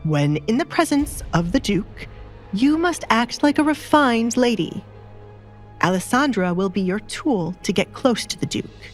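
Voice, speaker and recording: A woman's voice: fairly high pitch, expressive, measured pace, soft background music, voice pushed up. A woman voicing a stern, scheming middle-aged nobleman in an audio drama, scolding and commanding.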